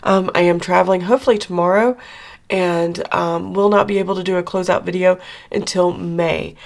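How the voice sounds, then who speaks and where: A middle-aged woman, hoarse after losing her voice, chatting casually through her weekly budget check-in with lots of fillers. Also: lowish voice, steady tone, normal speed. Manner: chatty, rambling with many fillers. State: hoarse, recovering from lost voice. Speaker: budgeting channel host.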